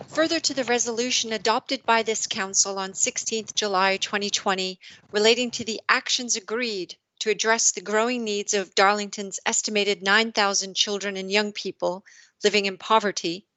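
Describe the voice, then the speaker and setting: A woman's voice: mid-range voice, no room sound, rough recording. A woman councillor steadily reading out a formal question full of figures. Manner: steady, reads long lists of figures.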